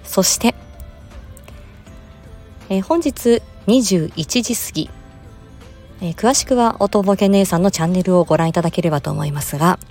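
A woman's voice: on the low side, normal speed, long pauses, darkish tone. A woman giving a promotional announcement in a polite, formal manner, like a presenter. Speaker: voice performer and channel host. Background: music.

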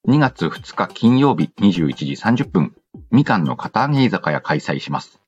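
A man's voice: deep voice, sing-song, normal speed, darkish tone, dull audio, faint music behind. A man hosts a casual radio-style show, reading listener messages aloud and adding playful quips. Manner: playful quips and retorts to what is read. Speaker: host of an audio show reading listener letters.

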